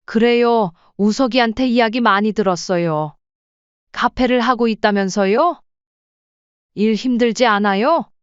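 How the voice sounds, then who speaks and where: Woman acting as proud mother-in-law in her sixties, high-pitched, firm, plain-spoken, audio drama, slightly muffled recording. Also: unhurried, clear, resonant tone, no echo, lightly acted. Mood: kindly, accepting. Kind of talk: scripted audio story dialogue.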